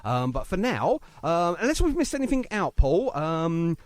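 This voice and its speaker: British male radio host, lively and matey. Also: a little high, normal speed, no echo. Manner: effusive, matey, teasing. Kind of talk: chatty live music radio interview.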